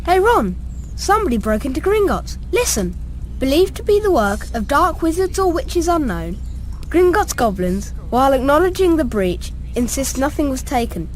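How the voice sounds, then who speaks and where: A woman's voice: high-pitched, sing-song, moderate pace, a little mellow, a little hiss or hum. A woman playing a curious, earnest young British schoolboy in a video game. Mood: curious, puzzled. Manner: earnest, inquisitive. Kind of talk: scripted video game acting.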